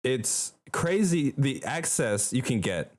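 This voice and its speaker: A man's voice: medium pitch, very animated, takes it slowly. A young man from the Philippines chatting casually as a podcast co-host, in an informal, emphatic and slangy way. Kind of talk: casual conversational chat.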